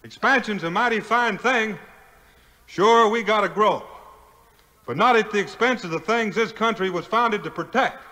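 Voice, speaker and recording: An actor playing a plain-spoken, steadfast frontier hero with a folksy Southern American drawl in a 1950s audio play. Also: male voice, sits high, moderate pace, sharp tone. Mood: earnest, oratorical. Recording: vintage 1950s record, long hall reverb.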